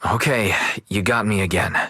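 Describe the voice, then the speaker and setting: A man playing a calm young man in a video game, husky and understated, speaking as a curious companion. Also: fairly low pitch, moderate pace, exaggerated voice. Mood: conceding. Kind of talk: video game character acting.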